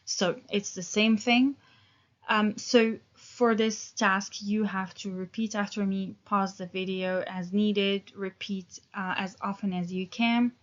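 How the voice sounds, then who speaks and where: A woman in her thirties teaching an English lesson, talking in a relaxed, hesitant way with many fillers and restarts. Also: mid-range voice, slow, dull audio. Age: 30s.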